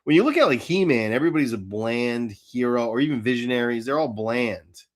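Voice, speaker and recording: A man's voice: mid-range voice, animated, fairly quick. A man hosting a casual podcast chat, talking bluntly and with strong opinions, swearing freely. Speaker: podcast host. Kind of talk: casual chat about cartoons.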